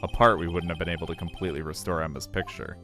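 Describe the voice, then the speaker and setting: A supportive, slightly flustered male defence lawyer from a courtroom video game, acted by a man. His voice sits low, with faint music underneath. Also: level tone, rapid-fire, close to an everyday voice. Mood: earnest. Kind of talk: fan voice acting of a visual novel game.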